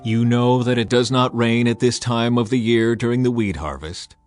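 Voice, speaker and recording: A stern old prophet, read by a man in a plain mid-pitched voice. He speaks solemnly and sternly to a whole nation. Soft music plays faintly underneath. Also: moderate pace, lightly acted. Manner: solemn, admonishing. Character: stern aged male prophet addressing a nation. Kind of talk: dramatized scripture reading.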